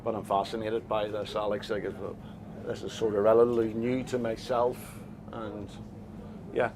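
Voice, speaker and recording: A man's voice: on the low side, little pitch movement, moderate pace, noisy background. A man with a Northern Irish accent, a boat skipper answering interview questions in a plain, hesitant way with many fillers. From Northern Ireland. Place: on a small boat offshore.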